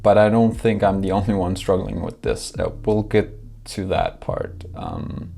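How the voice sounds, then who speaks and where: A man's voice: deep voice, big pitch swings, unhurried, gravelly, warm tone, faint music behind. A young man from Denmark, speaking English, talks candidly and with self-deprecating humor to camera in a scripted vlog. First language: Danish. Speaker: YouTuber.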